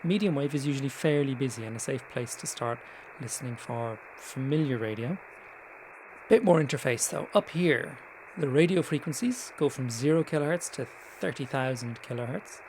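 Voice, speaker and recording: Casual man presenting, clear background noise. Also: medium pitch, moderate pace. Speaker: tutorial presenter. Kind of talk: casual screen-share tutorial narration.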